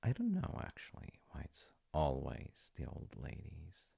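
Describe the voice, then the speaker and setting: A man playing a gentle, playful dad, telling a bedtime folk tale in a husky, gravelly voice with unhurried pauses and soft asides. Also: very deep voice, wildly swooping pitch. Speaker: audio roleplay voice actor playing a dad. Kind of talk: intimate comforting roleplay, improvised-sounding bedtime storytelling.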